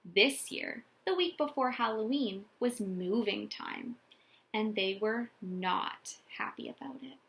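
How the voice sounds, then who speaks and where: A woman narrates a children's storybook in a fairly high, bright voice with noticeable creak. Her phrasing is deliberate and dramatic, as a teacher reads aloud to a class. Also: expressive, rough recording.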